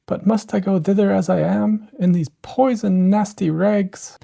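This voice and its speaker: A sweet young storybook heroine, played by a narrator with the pitch raised well up. She is meek and wistful, and her words have a gentle pleading lilt. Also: steady tone, unhurried, gravelly.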